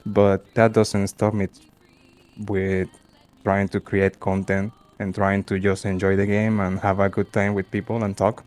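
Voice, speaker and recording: A shy, soft-spoken man with a strong non-native accent, answering interview questions in simple, halting phrases. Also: fairly low pitch, completely flat delivery. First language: not English. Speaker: guest, game content creator.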